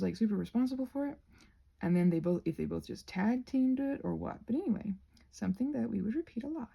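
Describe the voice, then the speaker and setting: A woman chatting casually in a soft-spoken, digressive, self-deprecating ramble as she works on a craft. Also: a little high, gravelly, slightly rough, low-quality recording. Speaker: craft vlogger. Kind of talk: casual rambling chat while painting.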